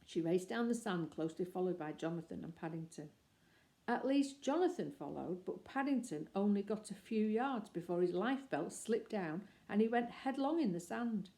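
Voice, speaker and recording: A woman reading a children's chapter book aloud, telling it in a gentle, expressive storybook manner. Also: medium pitch, moderate pace. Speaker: storybook reader.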